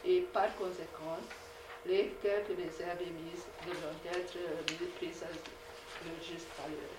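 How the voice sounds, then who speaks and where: A woman whose first language is Hungarian, reading a measured academic lecture with frequent hesitations. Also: on the low side, flat delivery, distant mic. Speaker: conference speaker. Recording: echoey hall recorded from afar, noisy.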